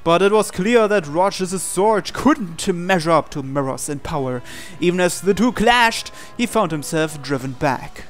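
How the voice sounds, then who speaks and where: A man's voice: high voice, expressive, a little slow, hardly any pauses. A man reading a visual novel aloud as a let's player, with theatrical storytelling and casual asides. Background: game music.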